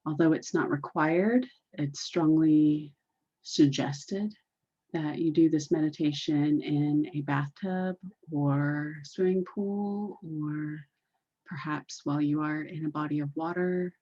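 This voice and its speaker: A woman leading a guided meditation in a deliberate, soothing, repetitive way, on a dull, muffled recording. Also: low-pitched, dark tone.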